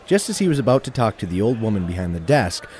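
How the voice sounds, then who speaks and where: A man's voice: on the low side, very animated, fast, a little mellow, slight background noise. A man narrating a scripted adventure story in a theatrical storytelling manner.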